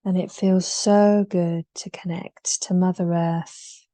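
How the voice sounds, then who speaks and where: A woman guides a meditation in a mellow, relaxed voice. She speaks extremely slowly, in short soothing phrases that trail softly into breath, with long pauses between them. The sound is dry, with very little room around her. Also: on the low side, no echo.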